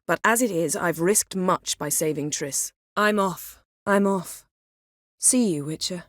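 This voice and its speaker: An actress voicing a proud, sharp-tongued sorceress in a fantasy video game, with a crisp, imperious, dryly sardonic delivery. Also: female voice, on the low side, unhurried, no echo, clean studio sound, natural voice. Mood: composed, dry.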